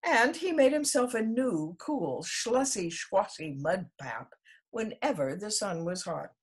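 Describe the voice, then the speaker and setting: A woman storyteller reading a children's story aloud expressively, in a husky voice. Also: medium pitch, lively intonation, takes it slowly.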